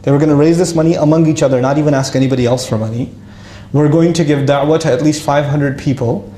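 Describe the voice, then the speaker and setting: A man casually telling his life story to an audience, lively and self-mocking, with frequent restarts. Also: a little high, fast talker, roomy sound.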